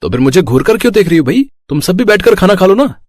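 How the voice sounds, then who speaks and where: Male actor as caring elder brother in a family story, young, joking, expressive, recorded dry. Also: high voice, fast talker, dry recording. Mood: playful, teasing. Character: caring, teasing elder brother of five sisters, working young man. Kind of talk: dramatized Hindi family story acting.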